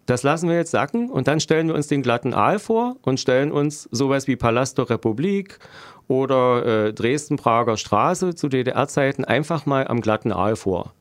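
A middle-aged man from Germany answering interview questions as a local politician, explaining deliberately and haltingly with dry irony. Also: mid-range voice, expressive, no room sound. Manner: deliberate, halting, dryly ironic. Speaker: local politician interviewed, road planner by profession.

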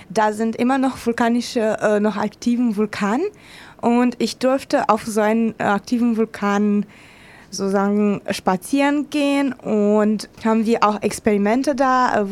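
A woman with a fairly high voice speaks as a guest in an interview. Her unscripted account is enthusiastic but halting, as she searches for words and uses slightly irregular grammar. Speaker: interviewed contributor.

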